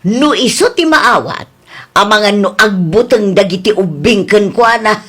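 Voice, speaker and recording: A woman's voice: mid-range voice, expressive, a little slow, fairly bright tone, slightly rough. A woman voicing a fussy, chatty middle-aged mother in a radio drama. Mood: worried.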